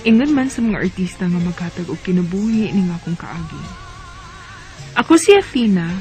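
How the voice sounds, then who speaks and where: A woman's voice: mid-range voice, big pitch swings, moderate pace, warm tone, soft background music, lightly acted. An actress with a Cebuano Filipino accent playing a young woman who tells her own sentimental story in a radio drama.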